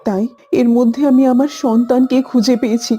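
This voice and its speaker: Gentle old cartoon mama cow, very soft dark tone, husky, tender. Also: female voice, on the high side, steady tone, brisk, muffled recording, faint music behind. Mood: pleading, tender. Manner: tender, pleading. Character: kindly old mother cow, gentle and self-sacrificing.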